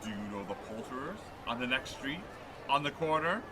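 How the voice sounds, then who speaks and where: A man's voice: medium pitch, expressive, brisk, background noise, natural voice. A man playing a fervent, theatrical old miser in a radio play. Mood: merry excitement. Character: old miser Scrooge, pleading then giddily redeemed. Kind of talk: stage radio play acting.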